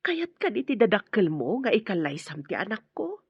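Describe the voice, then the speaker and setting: A woman's voice: mid-range voice, big pitch swings, normal speed, gravelly, husky, no echo, lo-fi sound, exaggerated voice. A woman with a Filipino accent playing a protective middle-aged mother who keeps a small store in a radio drama. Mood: solemn. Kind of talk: Ilocano radio drama acting.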